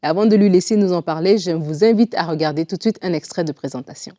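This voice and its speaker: Female host, fast talker, dark mellow timbre, restarts her sentences. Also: lowish voice, dry recording, clean studio sound. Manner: stumbling restarts, laughs easily. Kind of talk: casual studio chat. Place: TV studio.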